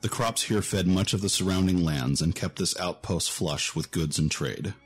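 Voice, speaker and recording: Deep-voiced man narrating fantasy. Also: moderate pace, slightly rough. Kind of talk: scripted fantasy story narration. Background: music.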